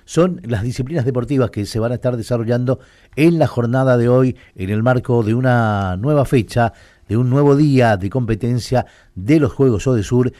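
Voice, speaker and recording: A man's voice: medium pitch, normal speed, dark tone, no room sound, studio quality. A man presenting sports news on radio, reading medal results steadily with some fillers.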